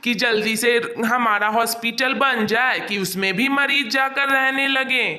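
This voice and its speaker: A man's voice: very high-pitched, steady tone, unhurried, sharp tone, exaggerated voice. A man voicing an ambitious, impatient doctor in a cartoon story, insistent and declamatory. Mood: obliging. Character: ambitious, impatient male doctor, dismissive of ghosts. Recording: roomy echo.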